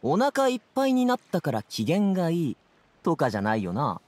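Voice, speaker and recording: A man voicing an energetic, good-natured young man in an anime game, talking in a casual, friendly, chatty way. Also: fairly high pitch, slight background noise. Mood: chatty, easygoing. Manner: casual, brisk, friendly boyish speech.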